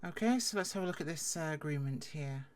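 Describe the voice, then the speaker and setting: A woman's voice: sits low, expressive, fairly quick. A woman in an improvised legal roleplay, playing a public defender in a firm, blunt, assertive way.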